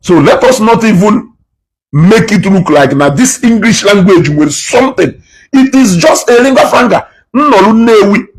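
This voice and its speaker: A man's voice: high voice, lively intonation, normal speed, slightly rough, rough recording. A man giving passionate reaction commentary, preachy and repeating words for emphasis. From Nigeria, Igbo.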